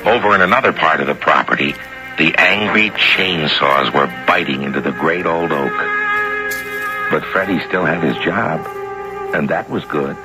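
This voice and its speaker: A middle-aged man hosting a radio drama, narrating a scripted story in a measured way that builds suspense. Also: rumbling low, low-quality recording. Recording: old radio broadcast, music underneath.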